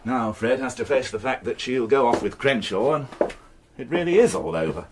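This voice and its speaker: An actor playing a wry, devoted police inspector in a radio drama, speaking with dry sarcasm. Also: male voice, mid-range voice, expressive, moderate pace, noisy background, natural voice. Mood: worried. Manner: dry, sardonic. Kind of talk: BBC radio drama acting.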